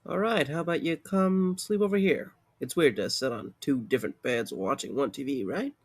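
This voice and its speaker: A man's voice: medium pitch, moderate pace, close to an everyday voice. A man acting in an audio drama as a weary, wry young man with a casual, sardonic, rambling way of talking. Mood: placating.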